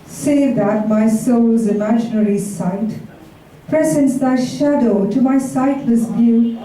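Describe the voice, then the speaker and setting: A woman reciting Shakespearean sonnets as a poetry reader, in a measured, deliberate delivery. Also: fairly high pitch, fairly even intonation, warm tone. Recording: very echoey, distant, faintly noisy live recording.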